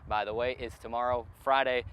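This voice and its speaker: A man's voice: medium pitch, steady tone, brisk, fairly bright tone, a little hiss or hum. A man hosting a sports show in a conversational broadcast style, outdoors at a stadium.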